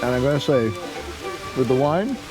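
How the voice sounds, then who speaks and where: A man's voice: medium pitch, expressive, normal speed, over music. A man from Trinidad hosting a travel vlog, chatting casually and with enthusiasm.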